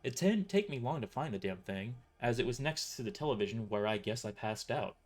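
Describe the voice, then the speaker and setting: A man's voice: mid-range voice, animated, brisk. A man narrating his own story in the first person as a scripted audio drama. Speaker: author and narrator.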